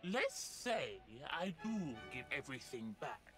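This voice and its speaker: Wildly theatrical, greedy monster bandit. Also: female voice, low voice, big pitch swings, unhurried, over music. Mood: mocking, scheming. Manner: taunting, melodramatic. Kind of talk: video game voice acting.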